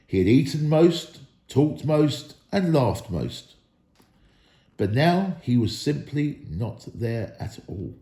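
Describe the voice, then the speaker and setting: A man reading a storybook aloud as an expressive narrator. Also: medium pitch, takes it slowly, echoey room. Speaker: storybook reader.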